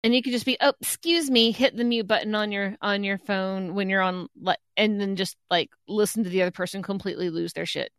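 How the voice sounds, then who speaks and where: A woman co-hosting a podcast with a young-sounding voice, blunt and animated, cursing freely. Her tone is bright and sharp at a middling pitch. The sound is close and dry. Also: fairly quick, hardly any pauses, smooth, no room sound. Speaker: podcast co-host. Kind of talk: casual chat.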